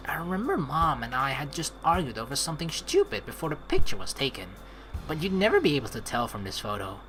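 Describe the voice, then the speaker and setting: Female let's player, low register, forceful and highly animated, casual playful commentary and read-aloud over game music. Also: fast, low-quality recording. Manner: expressive, playful, swearing. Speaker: let's play host.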